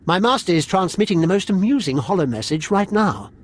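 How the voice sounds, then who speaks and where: An actor playing a smug, mocking villain's apprentice in a video game, taunting theatrically. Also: male voice, high-pitched, expressive, fairly quick, slight background noise.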